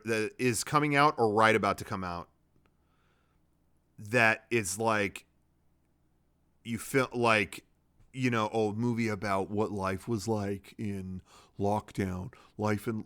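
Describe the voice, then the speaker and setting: A man's voice: on the low side, pushed and bright, smooth. A man hosting a comedy web show, reading out his own drafts and adding blunt, sweary, casual commentary.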